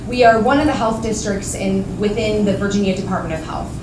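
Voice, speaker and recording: A woman public information officer giving a loud, friendly, conversational presentation. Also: medium pitch, brisk. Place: meeting room. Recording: roomy hall audio from far off, noisy.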